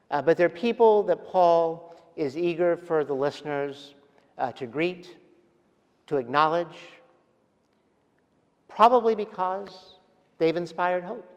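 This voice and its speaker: A man preaching a sermon as pastor, speaking gently and reflectively with pauses and soft trailing endings. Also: on the high side, smooth. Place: large church sanctuary. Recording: long church reverb.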